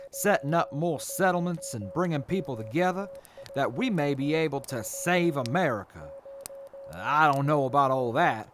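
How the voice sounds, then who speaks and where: A man acting as a weary, proud mercenary officer in a wasteland radio play. He talks earnestly and reflectively, with animated pitch, over clearly audible noise. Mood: hopeful, sincere. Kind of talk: post-apocalyptic audio drama acting.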